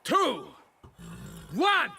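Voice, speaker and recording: High, creaky, haughty vengeful knight. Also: male voice, huge pitch swings, drawn-out words, heavily acted. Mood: menacing. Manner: pompous, theatrical, threatening. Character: haughty vengeful knight demanding a duel of honor. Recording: music behind the voice. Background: game music.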